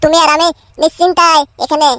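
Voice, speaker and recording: Man doing a high-pitched hapless cartoon husband. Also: level tone, fast, a bit bright, a little hiss or hum. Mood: placating. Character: hapless, placating poor husband, a cartoon fool. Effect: pitch-shifted voice. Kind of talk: amateur cartoon comedy dialogue.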